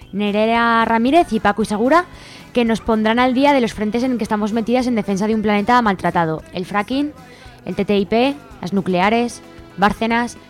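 A woman with an accent from Spain presenting a community radio show. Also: fairly high pitch. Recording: music behind the voice. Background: music.

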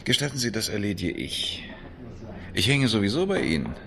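An actor playing a shrewd acquaintance in a 1970s radio drama, politely probing with seemingly casual, insinuating remarks. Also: male voice, fairly low pitch, big pitch swings, normal speed, gravelly, sharp tone, heavily acted. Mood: casually probing.

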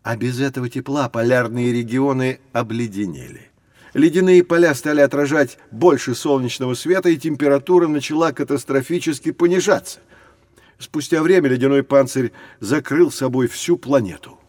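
A man playing a patient science teacher who guides children, explaining in a measured way in an educational audio drama. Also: medium pitch, darkish tone, dry recording, clean studio sound, natural voice. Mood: measured, explanatory.

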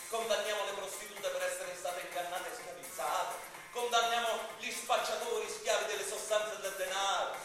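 A man's voice: high voice, pushed and bright. An actor performing an indignant, colloquial theatrical monologue as a man ranting bitterly against injustice. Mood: indignant, impassioned. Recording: very echoey, distant stage recording with music.

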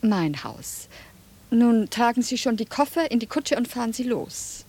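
A genteel, coquettish lady in a radio drama. Her fairly high voice moves with lively intonation at a slow, coaxing pace, self-possessed and playful, with faint noise behind. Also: husky. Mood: composed, commanding. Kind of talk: German radio play acting.

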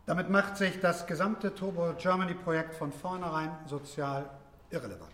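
A man playing a dismissive university lecturer in a radio drama, talking in a measured, pedantic seminar manner. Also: on the high side, fairly bright tone, natural voice. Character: dry, dismissive wine-drinking male university lecturer. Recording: echoey seminar room, reverberant, faint noise.

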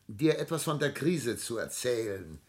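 Older male melancholy, cultured widower in a radio drama; theatrical, deliberate, widely swinging intonation. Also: medium pitch, sing-song. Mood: grave, confiding. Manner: theatrical, deliberate, literary phrasing. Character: melancholy, cultured ageing widowed patriarch, brooding and expansive. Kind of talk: German radio play acting.

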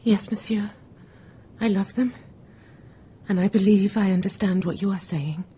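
An actress playing a frightened, secretive wife and mother, nervous and halting, in a radio drama. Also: medium pitch, dark tone. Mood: anxious, subdued. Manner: nervous, halting, breathy urgency.

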